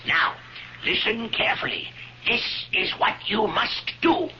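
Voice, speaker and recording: An actor playing an excitable, muddled but kindly older Roman gentleman in a radio drama, stammering and conspiratorial. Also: sits high, measured pace, loud music behind the voice. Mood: hushed, conspiratorial. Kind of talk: 1970s radio drama acting. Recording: muffled lo-fi radio with clear noise.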